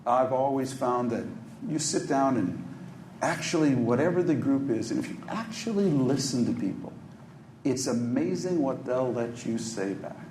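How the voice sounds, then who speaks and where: A man from Minneapolis speaks on a panel in a hotel conference room, thinking aloud with plenty of ums and restarts. The room is echoey, with a faint hum of noise behind him. His pitch sits in a middling range. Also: animated, darkish tone. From Minneapolis, USA. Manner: thoughtful, halting, many ums and restarts. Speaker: journalist, panelist.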